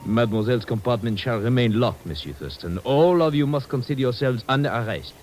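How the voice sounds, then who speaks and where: An actor playing a polite, officious train conductor with a formal manner, in old radio drama style. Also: male voice, medium pitch, lively intonation, darkish tone, natural voice. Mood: courteous, grave. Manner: formal, courteous, measured. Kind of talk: 1950s radio drama acting. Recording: noisy vintage radio recording.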